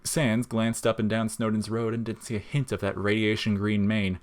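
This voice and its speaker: A man narrates a piece of scripted fiction. His voice sits on the low side. He reads as a storyteller, telling the scene in prose. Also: completely flat delivery, normal speed.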